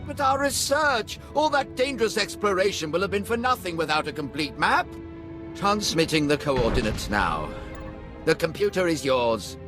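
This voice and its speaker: Bitter male scientist, high voice, dramatic, accusatory, video game cutscene, music behind. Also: sing-song, normal speed. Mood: bitter resignation. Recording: music under the voice.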